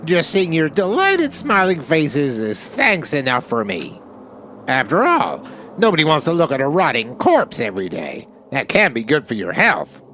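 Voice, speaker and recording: Man voicing a high, gleefully sadistic cartoon villain. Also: wildly swooping pitch, moderate pace, bright, forceful tone, slight background noise, exaggerated voice. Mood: mock-cheerful gloating. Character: gleefully sadistic mascot villain, mock-cheerful.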